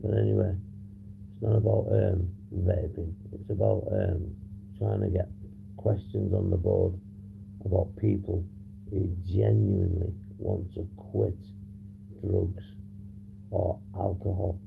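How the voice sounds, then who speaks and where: A man hosting a casual livestream, hoarse and husky, rambling and swearing as he reads the chat. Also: sits low, little pitch movement, takes it slowly, long pauses, narrow, tinny audio. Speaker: livestream host. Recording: noisy background hum.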